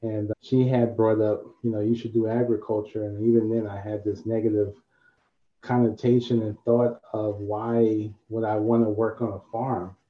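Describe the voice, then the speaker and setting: A man's voice: fairly low pitch, little pitch movement, moderate pace, roomy sound, highs cut off. A man from New York City speaking steadily and reflectively as a panel guest. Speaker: guest, agriculture official. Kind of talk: video call panel talk.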